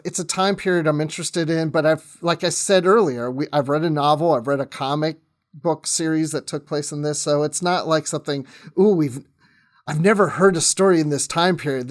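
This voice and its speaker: Man, fairly high-pitched, podcast guest, casual chat, jokey, easygoing.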